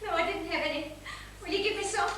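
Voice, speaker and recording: A woman's voice: very high-pitched, fast talker, fairly bright tone. A woman on stage playing a fragile, defiant young asylum patient, emotional and petulant. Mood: bitter, fragile. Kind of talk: stage play acting. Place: small theatre stage. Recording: very echoey theatre, distant mic, noisy.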